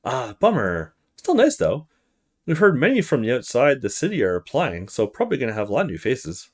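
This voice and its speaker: A man's voice: mid-range voice, huge pitch swings, brisk, husky. A man voicing a friendly, easygoing young male university student in a visual novel, chatty and casual. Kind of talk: visual novel character read-aloud.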